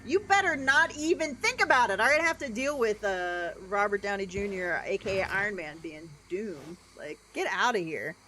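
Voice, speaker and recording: A young adult woman with a deeper voice, livestreaming a game and chatting casually with lots of "like" and "um". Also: normal speed, few pauses, sharp tone, a little hiss or hum, poor audio. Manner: rambling, chatty, many "like" and "um" fillers. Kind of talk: casual chat while gaming.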